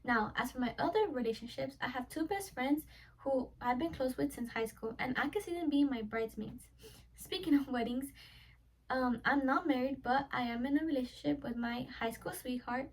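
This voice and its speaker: A high-pitched eighteen-year-old woman whose first language is Spanish delivers a prepared self-introduction for class. She speaks earnestly and often closes a thought with a quick "so yeah." She sits a little back from the microphone. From United States. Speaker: college student. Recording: voice a little far from the mic.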